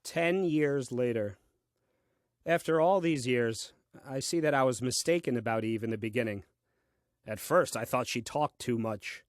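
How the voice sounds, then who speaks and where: An actor playing a bemused, earnest first man reading his diary in a comic audio play, deliberate and puzzled. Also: mid-range voice, dry recording, studio quality, natural voice. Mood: tender, regretful. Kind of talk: audio play diary monologue, dry comedy.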